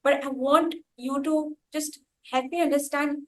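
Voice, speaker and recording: A woman hosting a conversational interview, speaking effusively in halting phrases with repeated words for emphasis. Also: sits high, steady tone, a bit bright. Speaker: interviewer, host.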